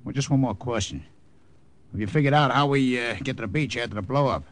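An actor playing a wary, streetwise American saboteur, blunt and slangy, in old radio drama style. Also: male voice, mid-range voice, a little fast, close to an everyday voice. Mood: impatient, wary. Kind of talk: 1950s radio drama acting. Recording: noisy old radio recording.

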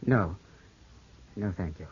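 An actor playing a suspicious, tormented young gentleman, intense and dramatic in 1950s radio drama style. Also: low voice, takes it slowly, breathy edge, exaggerated voice. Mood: sullen. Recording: muffled, hissy old 1950s radio broadcast.

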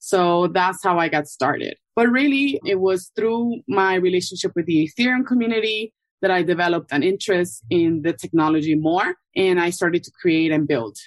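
A woman from Ecuador, a guest calmly explaining her background in an interview. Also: fairly low pitch, moderate pace, hardly any pauses, no echo. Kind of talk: casual interview answers.

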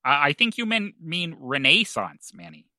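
Man as a bright-voiced, halting small-town historian. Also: fairly high pitch, huge pitch swings, no echo, clean studio sound, exaggerated voice. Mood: politely smug. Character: earnest small-town historian with dramatic pretensions. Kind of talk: comedic audio drama dialogue.

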